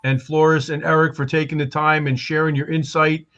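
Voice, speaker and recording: A man's voice: a little high, level tone, normal speed, fairly bright tone. A man hosting a panel show, playful and hyped, tossing out nicknames and catchphrases. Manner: hype, playful, calls people baby. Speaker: show host. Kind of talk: casual panel chat. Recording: slightly dull sound with faint noise.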